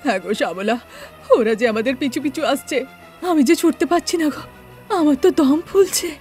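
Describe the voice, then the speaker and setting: Cartoon father female performer: young villager, gentle, homely, worried, high register. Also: normal speed, faint music behind, lightly acted. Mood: panicked.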